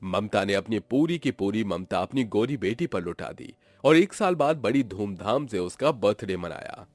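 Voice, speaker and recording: A man's voice: on the low side, expressive, normal speed, darkish tone, no room sound. A man narrating a scripted story in a dramatic storytelling style. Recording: music behind the voice.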